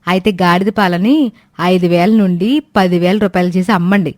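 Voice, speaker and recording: Woman acting a nagging, low-pitched village wife. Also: steady tone, moderate pace, a little mellow, no echo, studio quality, close to an everyday voice. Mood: demanding. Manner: scolding, sarcastic. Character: nagging, greedy, sharp-tongued village wife. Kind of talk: acted dialogue in a moral fairy tale.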